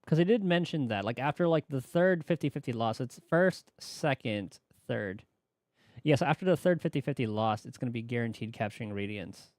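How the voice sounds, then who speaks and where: A man's voice: mid-range voice, animated, moderate pace, a little mellow, no echo. A man streaming games, chatting casually and thinking aloud in a tentative, rambling way on a close microphone. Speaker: game streamer. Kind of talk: casual livestream chat about game mechanics.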